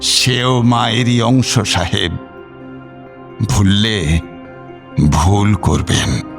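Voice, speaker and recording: Grave old man, low creaky voice, ominous and calm, radio drama. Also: soft background music, exaggerated voice. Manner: slow, quiet, deliberate. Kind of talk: audio drama acting.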